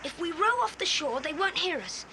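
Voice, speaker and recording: A plucky, bossy boy in a children's adventure tape. The voice is very high and animated, with a commanding edge. Faint cassette hiss sits behind it. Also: normal speed, exaggerated voice. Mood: planning. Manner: decisive, commanding. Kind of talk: children's adventure audio drama acting.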